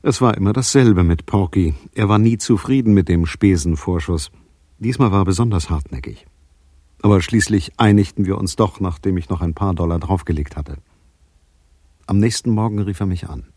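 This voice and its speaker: Husky, low-voiced hardboiled detective. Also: male voice, moderate pace, mellow. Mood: dry, amused. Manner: dry, sardonic, commanding. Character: wry, tough private detective narrating his own case. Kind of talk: 1980s German crime radio drama, narration and dialogue.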